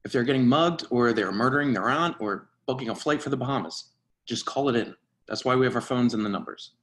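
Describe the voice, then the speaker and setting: A man acting a dry, by-the-book surveillance agent and mentor in a screenplay reading, with a measured, instructive delivery. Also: mid-range voice, fairly bright tone, close to an everyday voice. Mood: insistent.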